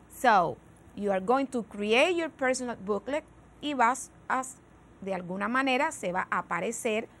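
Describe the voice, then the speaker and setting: A middle-aged Cuban woman with a Cuban Spanish accent, teaching an English lesson on educational television in a studio, switching between English and Spanish and speaking slowly and carefully. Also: fairly high pitch, fairly bright tone. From Cuba. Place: TV studio with virtual set.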